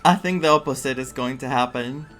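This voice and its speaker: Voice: mid-range voice, brisk, fairly bright tone. A game streamer giving casual, chatty commentary on a story playthrough in an informal, reactive way. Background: game music.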